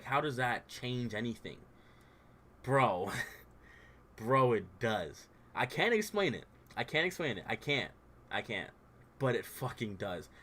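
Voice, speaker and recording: Young man, mid-pitched voice, slight stutter, casual, says "bro" a lot. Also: fairly bright tone. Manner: stutters at times. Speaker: streamer and dungeon master. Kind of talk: casual heartfelt rant on stream.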